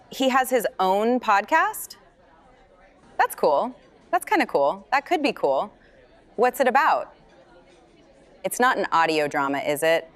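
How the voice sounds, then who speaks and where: An actress playing a teasing, affectionate middle-aged aunt in an audio drama, wry and playful, stretching words for emphasis. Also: female voice, medium pitch. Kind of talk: naturalistic audio drama dialogue.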